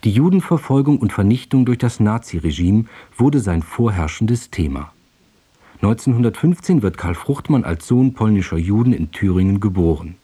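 A male radio announcer giving a formal, scripted introduction to a play. His voice is on the low side, mellow and husky. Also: animated, moderate pace.

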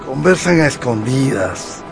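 A dry matter-of-fact first mate, music in the background. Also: male voice, mid-range voice, sing-song, a little slow, gravelly, rough recording. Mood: wary. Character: wary first mate watching the captain. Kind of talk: radio drama acting.

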